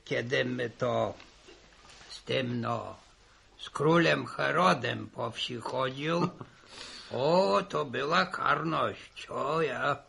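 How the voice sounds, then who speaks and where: A man acting a garrulous old village grandfather in a 1960s Polish radio serial, husky and creaky, fumbling and repeating his words. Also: mid-range voice, very slow, sharp tone, heavily acted. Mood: nostalgic, grumbling. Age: older.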